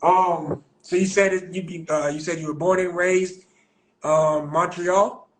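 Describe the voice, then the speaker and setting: An American man hosts a casual podcast over a live-stream call. He talks in a loose, slangy, easygoing way, leaning on fillers and repeats, and his voice sits a little above the usual male pitch. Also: steady tone, unhurried, a little hiss or hum, rough recording. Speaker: podcast host. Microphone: remote live-stream call.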